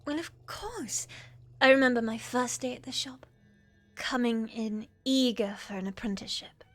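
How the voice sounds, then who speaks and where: A young woman playing a shy, obedient witch's apprentice in a fantasy ASMR roleplay, meek, hesitant and soft-spoken. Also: fairly high pitch, normal speed. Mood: eager, obedient. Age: young adult. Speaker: voice actor in audio roleplay.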